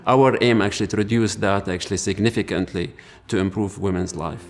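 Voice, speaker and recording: Male specialist, lowish voice, earnest and hesitant delivery. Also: fairly even intonation, faint music behind. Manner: earnest, halting, many fillers. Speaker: medical specialist. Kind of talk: explanatory interview talk.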